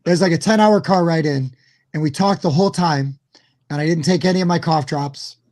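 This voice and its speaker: A loud, rambling man with a hoarse voice, chatting casually as a co-host. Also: fairly high pitch, brisk. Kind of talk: casual chat.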